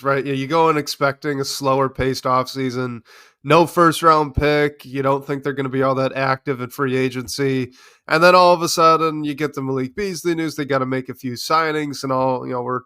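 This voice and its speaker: An American man co-hosting a casual sports podcast, a bit hoarse and gravelly from being sick, talking in an easygoing, rambling way. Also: mid-range voice, fairly bright tone, no echo, studio quality. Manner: easygoing, rambling, frequent restarts. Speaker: podcast co-host.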